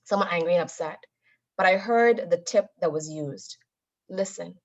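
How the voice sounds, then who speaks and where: A woman giving a motivational public talk as a guest speaker, with a deliberate, emphatic, instructive delivery. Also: lowish voice. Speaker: guest speaker, Toastmasters member. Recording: dull, lo-fi audio.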